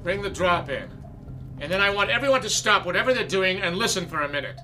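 An exasperated theatre director in a radio drama, stern and used to command. His voice is high and forceful, with a sarcastic edge, over faint music. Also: lively intonation, fast, heavily acted. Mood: impatient. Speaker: actor.

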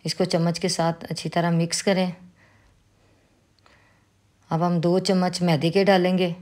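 A woman with a Pakistani Urdu accent walks through a home recipe in a calm, instructive way. Her voice sits a little low, and she talks like a cooking host guiding viewers step by step. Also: unhurried. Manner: calm, instructive, with religious greetings. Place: home kitchen.

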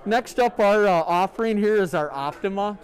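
A middle-aged man, a sales rep, showing products in a large store, talking in a halting way full of ums and uhs. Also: sits high, low-quality recording. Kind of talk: product demo. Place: large sporting goods store, indoors.

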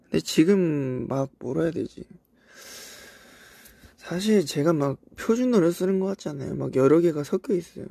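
A young Korean man from South Korea with traces of a Cheongju accent, chatting casually on a livestream and reminiscing in a relaxed way with soft asides. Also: fairly high pitch, moderate pace, vocal fry, no echo. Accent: Cheongju dialect traces in Korean. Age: young adult. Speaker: idol livestream host. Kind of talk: casual livestream chat reading comments. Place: indoors, voice-only stream.

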